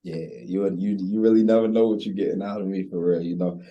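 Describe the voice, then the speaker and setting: A man's voice: deep voice, steady tone, fast talker, warm tone, muffled recording. A man in his twenties with a Baltimore accent, chatting casually as an interview guest in a slangy, laid-back way. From Baltimore, USA. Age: 20s. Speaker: interview guest, recording artist. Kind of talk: casual chat.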